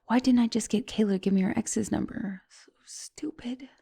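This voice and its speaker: A young woman playing a guilty, caring giantess college student in an intimate ASMR roleplay, hesitant and stammering, with soft turns toward whispering. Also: fairly high pitch, normal speed, creaky, breathy edge. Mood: self-reproaching. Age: young adult. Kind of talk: ASMR roleplay, intimate one-sided dialogue.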